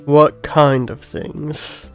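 A man voicing a calm, nerdy young male bear in a game playthrough, speaking lower and softer than his own voice. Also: very slow, creaky, soft background music, heavily acted. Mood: explaining.

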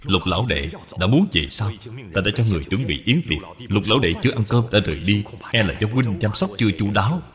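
A man's voice: fairly low pitch, animated, fast, crowd noise, close to an everyday voice. A man voicing a proud, hospitable general and father in a cartoon dub, with a formal manner. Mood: gracious.

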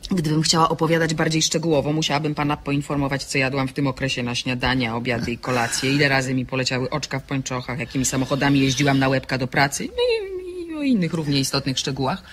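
A sharp-tongued woman sleuth talks with stubborn curiosity and wry irony. It sounds like a dramatized crime novel, with faint noise in the recording. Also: very deep voice, lively intonation, brisk. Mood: wry, ironic. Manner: wry, inquisitive.

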